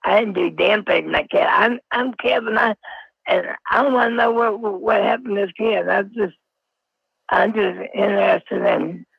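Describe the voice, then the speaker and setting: A man's voice: high-pitched, moderate pace, creaky, breathy edge, dry recording. A man calling into a live stream through a voice changer, stammering and repeating himself. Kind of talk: unscripted phone-in. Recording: dull lo-fi call, radio-like.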